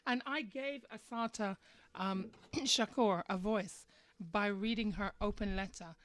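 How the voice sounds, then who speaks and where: A woman's voice: medium pitch, a little slow. A woman speaks as the host of a live radio talk show. Her mid-range voice is conversational and opinionated, often turning to listeners with questions. The sound is dry, with very little room echo.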